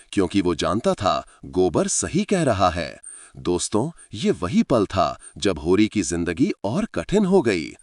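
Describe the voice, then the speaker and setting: A man's voice: on the low side, darkish tone, no room sound, clean studio sound. A man telling a story with feeling, speaking to his listeners as friends. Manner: emotive, addresses listeners as friends. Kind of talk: dramatic storytelling narration.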